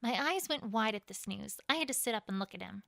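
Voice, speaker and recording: A woman playing a proud, conflicted pony queen, telling her story in first person in a dramatic, expressive fan fiction reading. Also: fairly high pitch, quick pace, gravelly, dry recording, studio quality. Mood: weary, tense.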